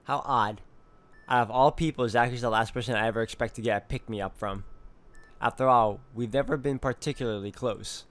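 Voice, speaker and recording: A man reading introspective first-person visual novel narration in a measured way. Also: medium pitch, fairly even intonation, fairly bright tone. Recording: faint music under the voice. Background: music.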